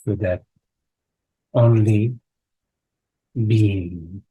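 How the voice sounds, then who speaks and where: A man with a lowish, husky voice guides a meditation. He speaks in short, halting phrases and leaves long pauses between them. The sound is dry and close. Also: fairly even intonation, no echo, narrow, tinny audio. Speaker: meditation teacher leading a live online session. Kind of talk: guided meditation, slow contemplative speech.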